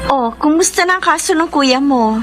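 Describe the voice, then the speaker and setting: Higher-voiced lovelorn young woman, earnest. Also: lively intonation, moderate pace, loud music behind the voice. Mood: concerned. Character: soft-hearted, lovelorn young woman, apologetic. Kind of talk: Filipino radio drama acting.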